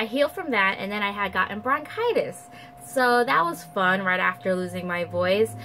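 A woman's voice: medium pitch, fairly bright tone, a little hiss or hum. A young woman with a nasal voice chatting casually to camera in her bedroom, rambling with fillers and restarts. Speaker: vlogger. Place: bedroom at home, indoors. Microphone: camera at close range.

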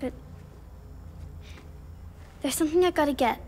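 Bratty, high-pitched little girl. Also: measured pace, darkish tone, slight background noise. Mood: sulky. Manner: snippy, sulky, dismissive. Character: bratty, defiant little girl, about eight. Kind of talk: video game voice acting.

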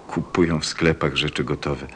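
A man playing a gentle, wistful fox who craves friendship, speaking earnestly and tenderly in an audio play. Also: a bass voice, steady tone, slight background noise. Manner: slow, earnest, tender delivery. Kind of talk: Polish-language audio play acting.

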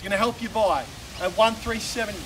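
High-voiced Australian auctioneer, street noise. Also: male voice, steady tone. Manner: brisk persuasive auction patter, repeating the figures. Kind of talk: live auction calling. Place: outdoors on a suburban street in front of a house. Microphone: camera filming from some distance, no mic seen. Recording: distant voice with loud street and traffic noise.

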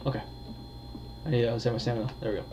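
A man's voice: medium pitch, fairly even intonation, racing, background noise. A man giving casual commentary on a horror game, talking to his viewers and thinking aloud as he plays. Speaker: gamer, video host.

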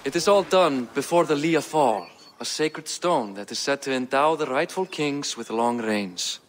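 A man playing a worldly king in a video game, explaining things in a measured, wry manner. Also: mid-range voice, animated, natural voice. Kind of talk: video game cutscene acting.

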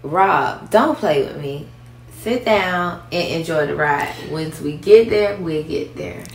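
A woman's voice: sits low, lively intonation, takes it slowly, full character voice. A woman playing an evasive, controlling travel companion in an amateur radio drama, with a cryptic, commanding manner. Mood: coolly insistent.

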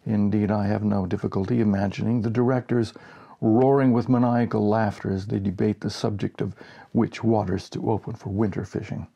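A man in his sixties giving an expressive reading of a humorous story. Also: fairly low pitch, a little fast, creaky, muffled recording. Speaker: story reader. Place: small home office, indoors.

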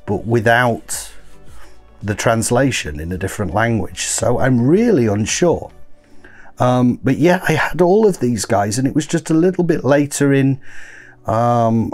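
A man in his thirties with a croaky voice from a cold, chatting casually while unboxing toys at his desk. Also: medium pitch, darkish tone. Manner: rambling, self-correcting. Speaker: toy collector vlogger. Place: home desk. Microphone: overhead camera, hands only.

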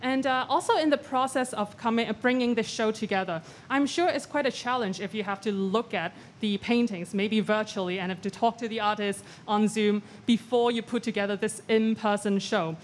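A woman's voice: fairly high pitch, a little hiss or hum. Polite young woman moderating a panel. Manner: polite, measured, reads from notes. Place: large gallery, indoors. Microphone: handheld mic.

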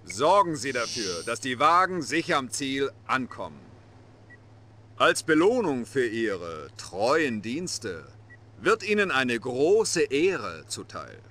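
Game cutscene casting: authoritative Soviet general, middle-aged, sharp-toned with lively intonation, measured formal orders. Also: male voice, medium pitch, full character voice. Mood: formal, commanding. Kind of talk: dramatic military briefing.

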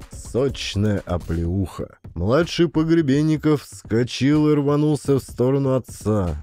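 Expressive mellow male storyteller. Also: medium pitch. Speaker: narrator and actor. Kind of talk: scripted comic audio drama narration.

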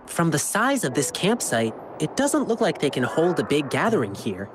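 Youthful, lively-voiced adventurer. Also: female voice, low-pitched, normal speed. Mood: alert, curious. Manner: earnest, expressive. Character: youthful, confident adventurer, watchful and kind. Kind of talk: video game voice acting. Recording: game music underneath.

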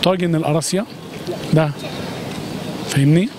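A man's voice: on the high side, steady tone, moderate pace. A middle-aged Egyptian man with an Egyptian Arabic accent, talking emphatically and effusively in a street interview at night. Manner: effusive, emphatic, swears by God often. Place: city street at night, outdoors. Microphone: handheld reporter mic. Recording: outdoor street noise.

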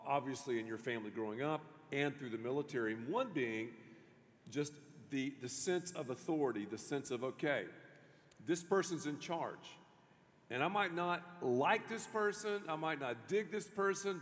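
A middle-aged man, a pastor, interviewing a guest on a church stage in an animated way, with many restarts. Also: medium pitch. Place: large church stage before an audience. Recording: long hall reverb.

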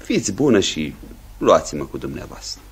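Low-voiced snobbish manservant. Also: male voice, big pitch swings. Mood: disdainful pleading. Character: discontented, snobbish young manservant. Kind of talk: radio theatre acting. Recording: old radio recording, murmuring background.

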